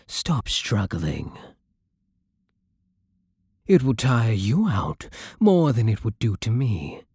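A man with a British accent voicing a possessive, regal vampire king in an ASMR roleplay, soft, breathy and condescending. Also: fairly low pitch, huge pitch swings, no echo, studio quality, exaggerated voice. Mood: menacing, mocking. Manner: slow, menacing, haughty purring.

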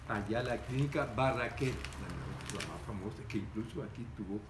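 A man's voice: fairly low pitch, normal speed. An older man, telling a story in casual conversation and animatedly acting out the people in it. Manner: animated, gestures a lot, voices the people in his story. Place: room with framed pictures, seated at a table indoors. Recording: echoey room with background noise.

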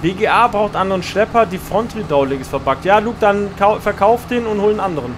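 A man talks his way through a live farming-game stream, greeting viewers and answering their chat as he plays. His voice sits high for a man. A steady noise runs under the recording. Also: fast talker. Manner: chatty, answers chat messages while playing.

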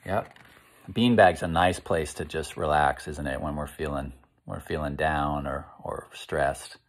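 A middle-aged American man reading aloud and gently guiding children, explaining things and putting questions to his listeners. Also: extremely low pitch, a little slow. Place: small living room, indoors.

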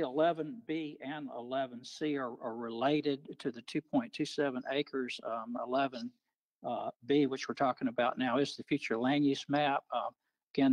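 A man's voice: medium pitch, no room sound, rough recording. A man presenting as a planning official on a video call, explaining details in a semi-formal way.

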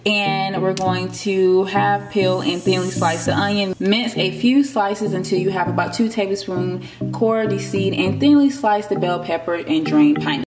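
A woman's voice: medium pitch, moderate pace, over loud music. A young woman vlogging in her home kitchen, reading recipe steps aloud and adding playful asides to viewers. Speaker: lifestyle vlogger. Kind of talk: casual cooking vlog, reading recipe steps. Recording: faint fan noise in a kitchen. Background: vent fan noise.

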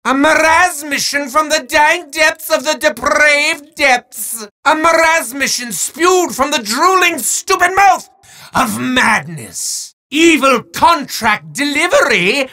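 A petty, hammy villainous sorcerer, bellowing announcements with grand theatrical swoops. The voice sits high, with a bright, sharp edge, and drawls each word slowly for dramatic weight. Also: lively intonation, full character voice. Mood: grandiose announcing. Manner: melodramatic, bombastic shouting with sweeping swoops. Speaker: game announcer character. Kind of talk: voiced game lines.

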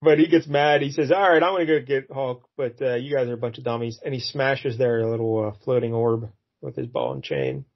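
A man's voice: medium pitch, animated, fairly quick, muffled recording. A man co-hosting a podcast, chatting casually about a comic book with lots of fillers and chuckles. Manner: rambling, many fillers, chuckles. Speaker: podcast co-host.

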